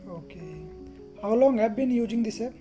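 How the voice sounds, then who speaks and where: Mellow-voiced Indian woman host. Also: fairly high pitch, lively intonation, normal speed, soft background music. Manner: asks short questions, acknowledges with brief okays. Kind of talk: casual language-practice chat.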